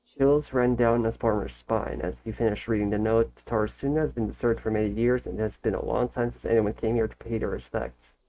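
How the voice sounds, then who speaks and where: A man hosting a casual reaction video, reading text aloud a little haltingly and going back over phrases to correct himself. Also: fairly low pitch, fairly even intonation, dark tone, poor audio.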